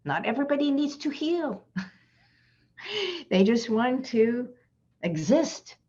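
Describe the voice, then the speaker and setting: A woman's voice: on the high side, sing-song, slow, darkish tone, lo-fi sound. A woman hypnotherapist giving an earnest, reflective inspirational talk as a guest in an online session, repeating her key phrases.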